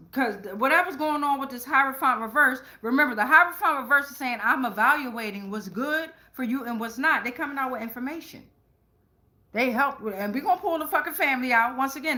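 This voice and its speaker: Female tarot reader, forceful bright tone, higher pitch; casual, rambling, profane, slang-heavy delivery. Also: a little fast. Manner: rambling, with frequent "right?" check-ins. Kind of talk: casual tarot reading monologue.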